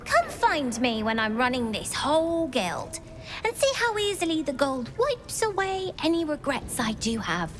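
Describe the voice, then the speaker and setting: A woman's voice: high-pitched, big pitch swings, a little slow, soft background music. An actress playing a brash, ambitious young female thief who leads a street gang. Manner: cocky, brash, street-smart. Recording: game audio with noise behind.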